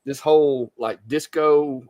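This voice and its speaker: A man's voice: on the high side, steady tone, slow pace, a little mellow. Chatty, easygoing man hosting. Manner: chatty, easygoing, stumbling restarts. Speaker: host. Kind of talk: casual live-stream chat.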